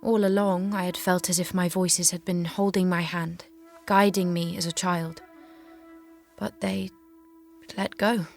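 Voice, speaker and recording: A woman's voice: on the low side, little pitch movement, measured pace, vocal fry, soft background music. A woman playing a young, devout and determined maiden warrior in an audio drama, speaking earnestly and insistently. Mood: subdued, reflective.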